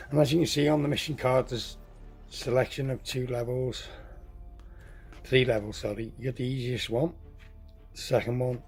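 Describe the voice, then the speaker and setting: A hoarse man getting over a cold walks through a tabletop game setup, halting, correcting himself and clearing his throat. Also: medium pitch. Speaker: tabletop game tutorial host. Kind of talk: casual step-by-step game setup walkthrough.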